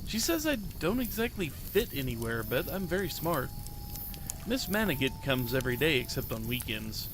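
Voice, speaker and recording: A man voicing an excitable, earnest ten-year-old boy reading his diary in a dramatic short story. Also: medium pitch, moderate pace, background noise, close to an everyday voice. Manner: naive, childlike, eager phrasing.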